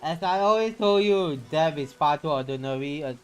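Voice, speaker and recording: A man's voice: fairly high pitch, animated, moderate pace, smooth, natural voice. A man reading as an eerie, possessive dead lover. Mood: possessive, solemn. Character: dead woman lover, possessive, eerie love-letter writer in horror game. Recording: music behind the voice.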